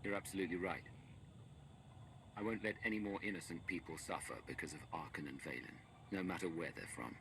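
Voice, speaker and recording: An actor playing a stern, pragmatic heroic leader in a video game, speaking in a measured, curt way. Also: male voice, deep voice, creaky, a bit bright, a little hiss or hum. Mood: calm, resolute. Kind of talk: video game dialogue acting.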